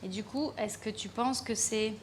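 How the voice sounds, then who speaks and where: A woman's voice: on the high side, normal speed, slight background noise. Woman moderating a live panel. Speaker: host and moderator.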